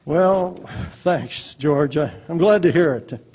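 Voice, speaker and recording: Casting: gentle weary father, a man acting it, husky, fairly high voice. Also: takes it slowly, exaggerated voice. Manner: gentle, hesitant with small laughs. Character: kind tired father running a small loan office. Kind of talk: live radio drama acting. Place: theater stage.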